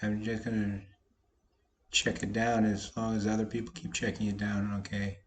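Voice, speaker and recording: A slightly hoarse man, a poker vlogger, casually talking through his online poker hands with a folksy, self-deprecating manner. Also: on the low side, completely flat delivery, moderate pace. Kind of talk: casual commentary over online poker play.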